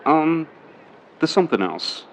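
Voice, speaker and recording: A man playing an eager, lovestruck young son in a 1950s New York audio drama, boyish and halting in his excitement. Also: fairly high pitch. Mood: proud, smitten. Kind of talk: vintage-set audio drama acting.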